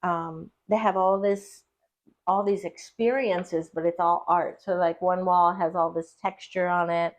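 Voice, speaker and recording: A woman's voice: lowish voice, fairly even intonation, measured pace. A middle-aged woman, a podcast guest, chatting casually and rambling through stories with easy laughs. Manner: chatty, rambling storyteller who laughs easily. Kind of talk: casual conversation.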